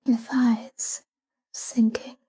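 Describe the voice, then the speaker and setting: A woman leads a sleep talk-down in a very dark, mellow voice. She speaks softly and breathily, close to a whisper, and keeps an even, calm delivery. Her short phrases are unhurried, with space left between them. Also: a little high, husky. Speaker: sleep meditation guide. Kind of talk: soft guided sleep meditation, whispered talk-down.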